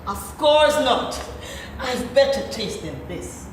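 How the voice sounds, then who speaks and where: A woman playing an imperious, scheming middle-aged wife and mother in a stage play, theatrical and deliberate. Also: on the high side, expressive. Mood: smug, haughty. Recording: distant, echoey, noisy theatre recording.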